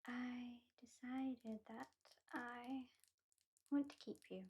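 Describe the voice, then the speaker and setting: A haughty British vampire lady, played by a woman in an ASMR roleplay, soft and breathy with a slightly raised, mellow tone. She drawls slowly and teasingly, talking down to her new mortal pet and often sinking into a close-up whisper. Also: fairly high pitch, steady tone, slight background noise. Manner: slow, teasing, condescending, often drops to a whisper. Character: haughty female vampire who claims the listener as a pet.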